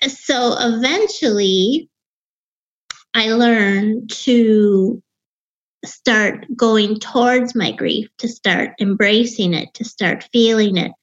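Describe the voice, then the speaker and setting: A woman in her fifties with a Wisconsin accent talks about her life as a podcast guest. Her voice sounds young and sits fairly high, and she pauses and restarts as she reflects. The recording is a bit dull and lo-fi. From Wisconsin, USA. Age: 50s. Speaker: author and grief guest. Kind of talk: personal storytelling in an interview.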